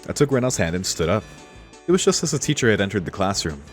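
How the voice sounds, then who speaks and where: A man with a low voice plays a visual novel, reading lines aloud and commenting casually. He is informal and chuckly, with faint music behind him. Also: big pitch swings, fast talker. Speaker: streamer playing a visual novel.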